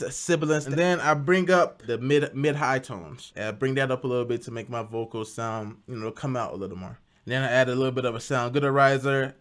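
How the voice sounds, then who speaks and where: A young man talking casually through his home music production process, relaxed and self-deprecating, often restarting words. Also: mid-range voice. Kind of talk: casual tutorial talk.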